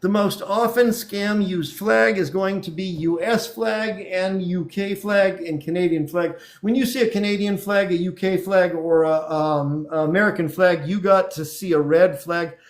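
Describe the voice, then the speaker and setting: Upbeat high-voiced male live-stream host. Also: unhurried, hardly any pauses. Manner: upbeat, encouraging, chatty. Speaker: host of a live dating show. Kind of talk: live-stream chat, reading viewer comments.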